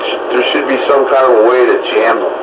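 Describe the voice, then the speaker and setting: A man's voice: medium pitch, quick pace, muffled recording. Man on echoey, noisy radio. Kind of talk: casual explanatory radio chat.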